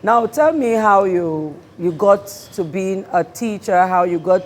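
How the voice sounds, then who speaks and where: A woman's voice: mid-range voice, a little slow. A woman with a Cameroonian accent hosting a TV talk show and interviewing in a conversational way. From Northwest region of Cameroon. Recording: music in the background. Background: music.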